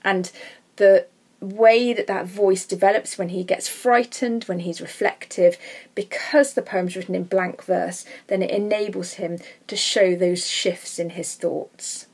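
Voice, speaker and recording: A woman's voice: mid-range voice, steady tone, a little slow, a little mellow, breathy edge. A woman teaching English literature in an informal, explanatory lesson voice-over.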